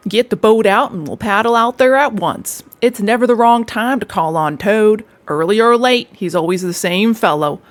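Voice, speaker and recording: A hearty, good-natured water rat, as a woman puts him on, brisk and sure of himself. He speaks with emphatic cheer, and his voice is a touch louder than the narration around him. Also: mid-range voice, natural voice. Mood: cheerful. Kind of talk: storybook read-aloud.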